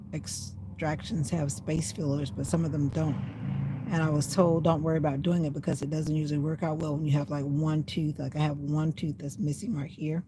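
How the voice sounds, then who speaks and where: Chatty older woman, slightly raspy voice. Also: low voice, background noise. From USA. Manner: rambling, chatty, talking to herself. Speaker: vlogger. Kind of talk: casual livestream chat. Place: inside a parked car in the rain.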